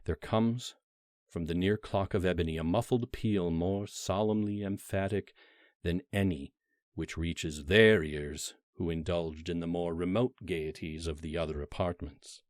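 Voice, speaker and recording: A very deep-voiced man narrates classic horror fiction. His phrasing is measured and theatrical, shaped by deliberate dramatic pauses. The sound is dry, with almost no room around the voice. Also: fairly even intonation, dry recording. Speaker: narrator reading a classic horror story aloud. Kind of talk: dramatic literary audiobook narration.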